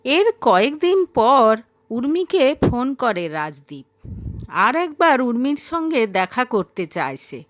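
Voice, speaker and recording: Female audio-story narrator: high voice, unhurried pace, expressive scripted delivery. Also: dry recording, studio quality.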